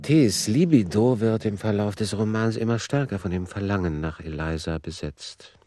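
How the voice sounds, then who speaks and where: An actor playing a detached narrator who sets out notes for a novel in a clinical, deliberate way, in radio drama style. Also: male voice, on the low side, mellow, soft background music, natural voice. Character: analytical male narrator dictating notes on a novel.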